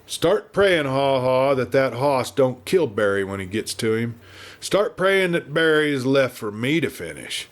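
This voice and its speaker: A man voicing a big, brooding Western villain with a deep, thick voice, speaking calmly in rustic frontier dialect. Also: unhurried. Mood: menacing.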